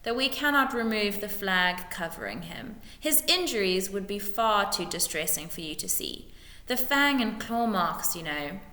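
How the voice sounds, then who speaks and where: A woman's voice: mid-range voice, animated, a little slow, sharp tone. A woman voicing a scheming, bullying male lord in a storybook reading, with snarled orders and oily false sympathy. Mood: oily, solemn. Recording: echoey room with long reverb.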